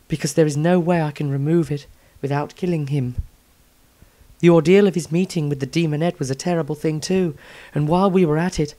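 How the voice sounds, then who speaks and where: A man voicing an earnest, compassionate woman healer, reading her fantasy diary in a measured, analytical way. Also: a little high, a little mellow, pitched above the performer's own voice, natural voice. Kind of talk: storybook fantasy diary narration.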